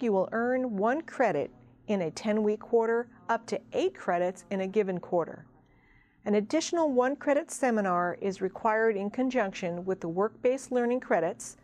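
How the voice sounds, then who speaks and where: Female presenter, scripted explainer narration for a college TV segment, mid-range pitch. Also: darkish tone.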